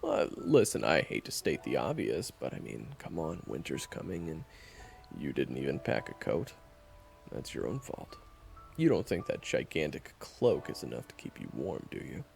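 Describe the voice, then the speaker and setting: A man playing a sardonic, guarded monster hunter in a fantasy audio roleplay, with a gravelly voice and a dry, teasing, bluntly dismissive manner. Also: extremely low pitch, huge pitch swings, normal speed, darkish tone, soft background music, full character voice. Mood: teasing, scolding. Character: sardonic, guarded young male monster hunter, begrudgingly chatty. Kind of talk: fantasy audio roleplay acting, addressed to the listener.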